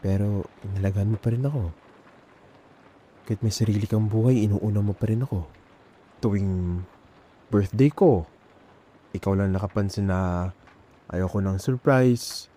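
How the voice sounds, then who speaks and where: A young man with a Filipino accent performing an intimate ASMR roleplay as an emotional best friend, speaking colloquially and haltingly, with pauses. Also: on the low side, mellow.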